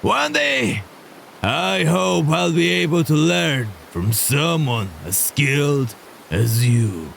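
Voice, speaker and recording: A huge fish-man warrior in a fantasy audio drama. His voice is very gravelly and creaky, drawn out slowly with big swoops of pitch. He is effusive and admiring. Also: big pitch swings, fairly bright tone, slight background noise, heavily acted. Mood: exuberant admiration. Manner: slow, effusive.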